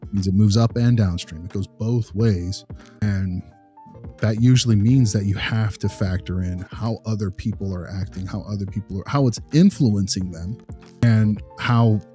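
A man's voice: lowish voice, moderate pace, mellow, soft background music. A man talking conversationally as a podcast host, explaining at length in an earnest, emphatic way. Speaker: podcast host discussing behavior analysis. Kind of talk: conversational discussion.

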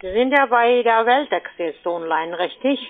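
Woman cold caller, kinda high voice, pushy sales talk, thin phone sound. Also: expressive, normal speed. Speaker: cold-calling telephone sales agent. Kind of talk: sales pitch on a phone call. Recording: tinny, narrow-band phone line.

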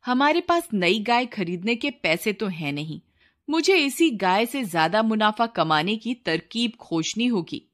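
A woman's voice: medium pitch, expressive, measured pace, close to an everyday voice. A woman voicing a greedy, complaining village wife in a children's cartoon story, with an insistent, taunting manner. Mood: scheming. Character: nagging, greedy village wife, dissatisfied and scheming.

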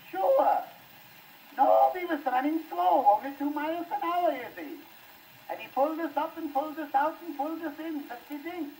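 A man's voice: very high voice, darkish tone, slight background noise, exaggerated voice, poor audio. A flustered shopkeeper father. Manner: flustered, mangled English, hollering into the phone. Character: flustered middle-aged immigrant father, shopkeeper. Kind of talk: comic telephone monologue.

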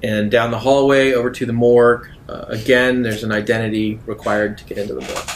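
A man's voice: fairly even intonation, brisk. A man narrating a tabletop game as its game master. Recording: noisy, rumbling background.

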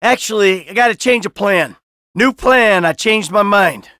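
A man's voice: high voice, unhurried, bright, forceful tone, no room sound, clean studio sound. A man voicing a cynical, brash middle-aged American criminal in a video game, sarcastic and foul-mouthed. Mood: smooth, persuasive. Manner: sardonic, brash, swearing.

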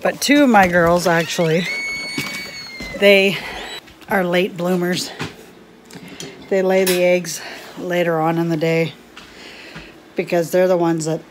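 Chatty woman vlogger, slow and rambling with lots of giggles, phone audio outdoors with loud wind. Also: fairly low pitch, flat delivery. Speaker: homestead vlogger. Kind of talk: casual handheld vlog chat. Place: outdoors in a windy snowy yard. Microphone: phone camera held at arm's length.

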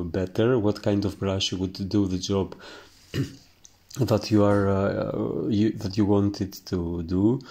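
Greek man, painting teacher, slow halting delivery, lowish voice, lots of ums. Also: darkish tone. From Greece. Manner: halting, searching for words, many fillers. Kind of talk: instructional voice-over while painting.

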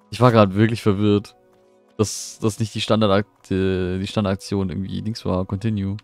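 Low gravelly man streaming a game. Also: no echo. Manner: chatty, rambling, answers chat, easily puzzled. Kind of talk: casual live gameplay commentary. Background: faint game music.

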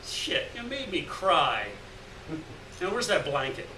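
A man's voice: high voice, very animated, takes it slowly, pushed and bright, full character voice. A man voicing a rowdy, crude, hard-drinking beatnik drifter in a live story reading, boisterous and slangy. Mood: tipsy, sentimental. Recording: roomy, distant mic, faint noise.

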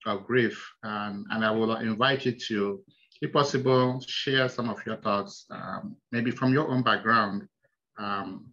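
A man with a Nigerian accent presents to colleagues over a video call, pausing often with soft ums. His voice sounds bright and forward, but the call audio is dull and lo-fi. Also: mid-range voice. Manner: halting, many ums, soft-spoken. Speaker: hospice chaplain. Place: small indoor room.

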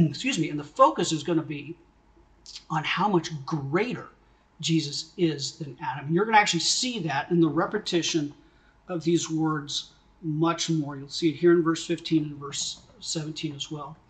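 Conversational pastor teaching from home. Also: male voice, fairly high pitch, moderate pace. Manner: conversational teaching, asks questions, gestures with hands. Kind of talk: sermon recorded at home for video. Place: home study with bookshelves.

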